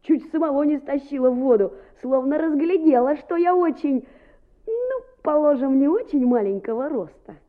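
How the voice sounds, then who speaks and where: An actress playing a lively, boastful small schoolboy in a radio play, muttering and exclaiming expressively to himself. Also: female voice, high voice, normal speed, narrow, tinny audio. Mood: excited, boastful. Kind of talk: Soviet-style radio play acting.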